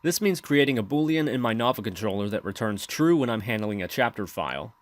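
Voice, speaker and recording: A man hosting a software tutorial, explaining steps in scripted voice-over narration. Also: mid-range voice, expressive, fairly quick, no room sound. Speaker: tutorial host.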